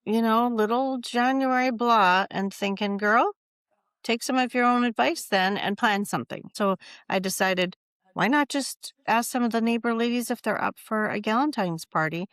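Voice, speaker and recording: A woman's voice: fairly high pitch, moderate pace, fairly bright tone, smooth, dry recording. Cheerful lady cohost chatting, laughs a lot, dead dry recording. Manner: upbeat, laughs often. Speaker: podcast co-host. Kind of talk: casual chat. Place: Midwest.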